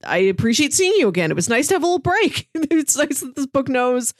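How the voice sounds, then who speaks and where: A woman's voice: high voice, sing-song, brisk, dry recording. Young female co-host, talkative, lots of fillers, dead-dry mic. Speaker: podcast co-host. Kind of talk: casual chat.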